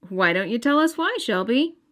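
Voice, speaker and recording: A woman narrating a children's story as an expressive read-aloud. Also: on the high side, moderate pace. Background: faint music.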